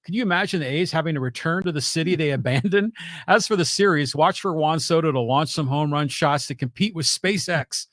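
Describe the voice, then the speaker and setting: American male co-host, slightly high voice. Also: brisk, a bit bright, no echo, clean studio sound. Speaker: co-host reading listener questions. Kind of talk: casual sports chat.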